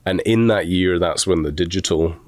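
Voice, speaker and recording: A middle-aged man with a softened Scottish accent, an animation instructor telling rambling, self-deprecating stories in a relaxed interview. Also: low voice, moderate pace, no room sound. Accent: Scottish, softened toward American. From Scotland.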